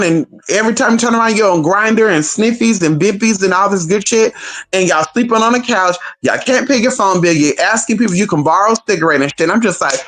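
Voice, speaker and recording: A woman chatting casually on a livestream, sassy and profane, calling her viewers honey and girl. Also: mid-range voice. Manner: animated, talks straight to viewers.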